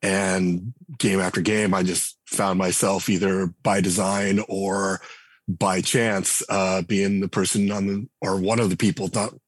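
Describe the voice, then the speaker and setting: A middle-aged man, a game writer and designer, talking casually in an interview and telling relaxed stories with frequent restarts. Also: deep voice, unhurried, husky, no room sound. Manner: relaxed storyteller, many restarts and fillers.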